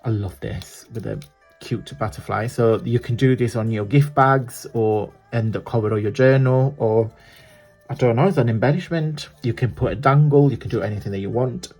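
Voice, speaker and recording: A man's voice: mid-range voice, moderate pace, a little mellow, soft background music. A man with an Italian accent, chatting casually while showing a crafting tutorial, rambling and correcting himself as he goes. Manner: chatty, rambling, self-correcting, laughs often. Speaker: craft vlogger.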